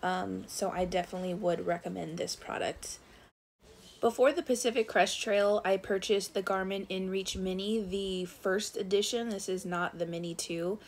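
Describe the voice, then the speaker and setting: A woman's voice: mid-range voice, moderate pace. Woman talking through her hiking gear. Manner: relaxed, frequent "um" fillers, recommending. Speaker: hiker reviewing her gear. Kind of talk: casual gear review narration.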